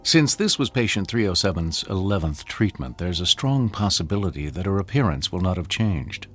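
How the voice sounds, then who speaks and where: An actor playing an imperious government minister in a radio drama, coldly formal and pressing. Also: male voice, deep voice, sing-song, moderate pace, a bit bright, full character voice. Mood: cold, probing.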